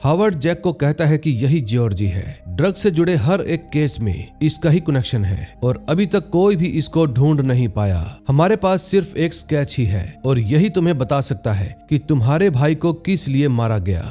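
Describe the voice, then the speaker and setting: A man's voice: fairly high pitch, big pitch swings, moderate pace, hardly any pauses, dark tone. A man narrating a scripted movie recap in a storytelling style, with music behind him. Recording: music bed under voice. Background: background music.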